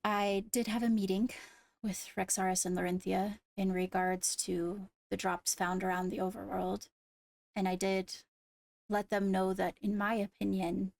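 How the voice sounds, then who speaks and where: Woman playing a creaky-voiced, deliberate investigator. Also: mid-range voice, level tone, darkish tone, no room sound. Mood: serious, reasoning. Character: measured, diligent female fantasy court investigator. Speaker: roleplay player acting as an investigator. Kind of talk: improvised in-character roleplay dialogue.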